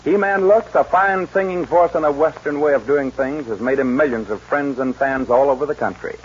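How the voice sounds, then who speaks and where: A man's voice: on the high side, normal speed, breathy edge. A man announcing and narrating a 1940s western radio show in formal, grandiose showman phrasing. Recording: dull 1940s radio recording.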